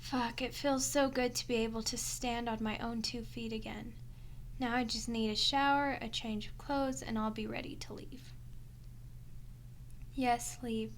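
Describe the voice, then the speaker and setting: Vampire woman, haughty and sarcastic, female performer, higher-pitched, unhurried delivery, ASMR roleplay. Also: steady tone, a little hiss or hum. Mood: grudging, teasing. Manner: sarcastic, grumbling, mocking. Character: sardonic, foul-mouthed vampire woman, haughty and teasing. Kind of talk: ASMR audio roleplay monologue.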